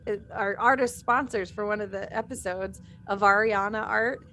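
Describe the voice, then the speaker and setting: A woman's voice: medium pitch, fairly even intonation, moderate pace, pushed and bright. Woman, middle-aged, audio drama cast member. Giggly, self-deprecating, informal chat, faintly noisy recording. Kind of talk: casual panel chat.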